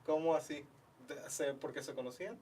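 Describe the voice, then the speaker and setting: مضيف يبحث عن كلماته ويمزج الإسبانية. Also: male voice, fairly high pitch, quick pace, recorded from a distance, crowd noise. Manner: searching for words, mixes in Spanish. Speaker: host. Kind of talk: casual interview chat.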